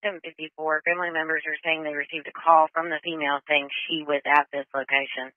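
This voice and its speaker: A man's voice: fairly high pitch, little pitch movement, quick pace, no room sound. A man working as a dispatcher, reading out procedural call details over a two-way radio. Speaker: emergency dispatcher. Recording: phone-like narrow-band police radio audio.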